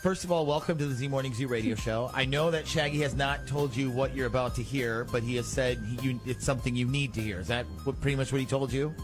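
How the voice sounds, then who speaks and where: A man's voice: mid-range voice, fast, hardly any pauses, over music. A man hosting a morning radio show, running a prank call in a casual, teasing way.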